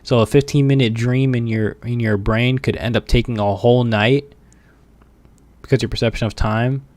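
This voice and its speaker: A man's voice: mid-range voice, fairly even intonation, normal speed, a little mellow, no echo. A man chatting casually as a game streamer, laid-back and slangy. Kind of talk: casual livestream chat.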